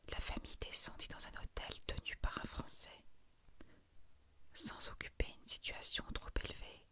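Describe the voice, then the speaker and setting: A narrator whispering a literary short story in a soft, measured, breathy ASMR bedtime reading.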